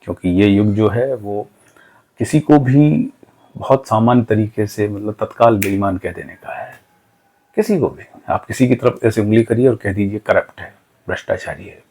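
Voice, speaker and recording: Low-pitched man, earnest teacher. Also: a little mellow, slightly rough. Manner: earnest, advisory, with rhetorical questions and listed examples. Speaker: cartooning teacher and channel host. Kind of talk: informal motivational lesson talk.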